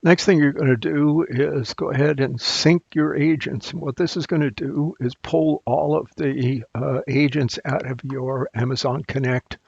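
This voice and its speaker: A man with a gravelly, raspy voice walks through a software tutorial. He takes his time, thinking aloud and halting between phrases as a support presenter working off the cuff. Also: medium pitch, fairly even intonation, no room sound, muffled recording. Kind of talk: unscripted software screencast walkthrough.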